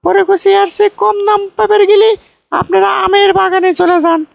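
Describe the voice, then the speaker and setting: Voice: very high-pitched, level tone, brisk, creaky, no echo, full character voice. A performer voicing a bossy, foolish middle-aged village aunt in a comic cartoon, folksy and repetitive, with a northern Bangladeshi Bogra accent. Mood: smug storytelling. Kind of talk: comic cartoon dialogue, rambling storytelling.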